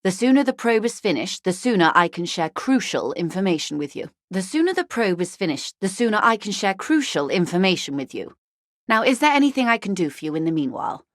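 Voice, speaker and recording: A woman's voice: medium pitch, very animated, a little fast, dry recording, studio quality, lightly acted. A woman voicing a poised, wry, upper-class corporate negotiator in a video game, speaking in a polite, formal, faintly arch way. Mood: businesslike, courteous. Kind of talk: video game dialogue acting.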